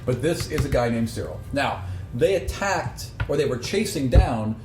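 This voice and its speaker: A mid-pitched man runs a tabletop game, explaining things casually to the players. The room is echoey, with clear background noise. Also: expressive, moderate pace, darkish tone. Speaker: game master.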